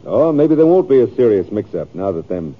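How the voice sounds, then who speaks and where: An actor playing a stubborn, defensive Old West rancher in a radio drama, with an American accent and folksy, insistent speech. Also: male voice, lowish voice, sing-song, a little fast. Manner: folksy, insistent, self-justifying. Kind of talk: old-time radio western acting. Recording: thin, phone-like old radio recording.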